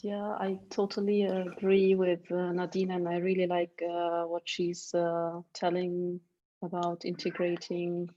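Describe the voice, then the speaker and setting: A woman's voice: fairly low pitch, monotone, measured pace, warm tone, lo-fi sound. A woman speaking on an online panel, hesitant and full of fillers. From Germany. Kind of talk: panel discussion.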